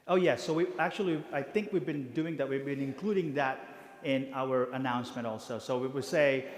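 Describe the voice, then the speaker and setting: A priest briefing parish volunteers, talking haltingly with many fillers and restarts. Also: male voice, mid-range voice. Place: church hall. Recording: reverberant church hall.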